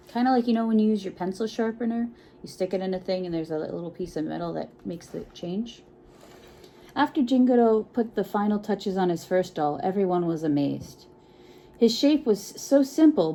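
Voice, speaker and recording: Woman storyteller, creaky voice, soft dark tone, children's read-aloud, expressive and encouraging, chatty asides, noisy home recording. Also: medium pitch. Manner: expressive, encouraging, checks in often with "right?".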